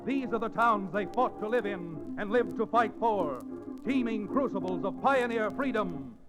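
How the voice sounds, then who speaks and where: A man's voice: sits high, expressive, a little slow, over loud music, poor audio. A man announcing a 1940s radio show from a script in a dramatic, declamatory manner. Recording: restored old radio recording.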